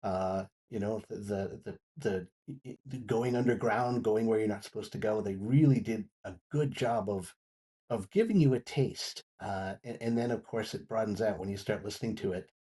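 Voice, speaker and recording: A man giving an unscripted review as a guest on a talk show, in halting, often repeated phrases. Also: on the low side, dark tone, breathy edge. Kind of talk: unscripted audio drama review.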